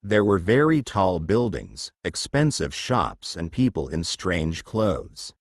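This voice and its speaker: A man reading a children's textbook story as scripted narration, in a measured, clear manner meant for learners. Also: low voice, sing-song, dry recording, clean studio sound.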